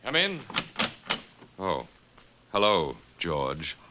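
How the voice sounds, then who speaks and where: An American actor playing a wry, bookish captive professor in a 1950s radio drama, with a halting, sardonic delivery. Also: male voice, sits low, huge pitch swings, music in the background, heavily acted. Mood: dryly resigned. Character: wry, bookish captive male professor, resigned last man. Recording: noisy vintage 1950s radio recording.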